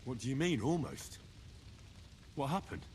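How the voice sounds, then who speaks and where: A man's voice: mid-range voice, animated, measured pace, darkish tone, a little hiss or hum, natural voice. An actor playing an earnest, hot-headed young man in a medieval video game drama, speaking with impassioned conviction. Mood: worried.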